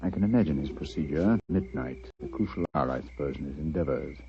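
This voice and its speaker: An actor playing a keen, self-assured British detective with an authoritative manner, in 1940s radio style. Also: male voice, very deep voice, animated, a little fast, background noise. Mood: brooding. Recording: muffled 1940s radio broadcast.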